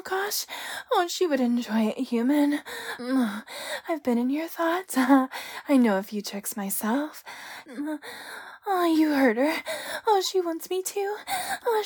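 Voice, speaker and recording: A breathy, high-voiced temptress of a spirit, acted out by a woman in an intimate adult audio roleplay. She speaks slowly and teasingly, often slipping into a whisper, with sultry, playful hesitations. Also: full character voice.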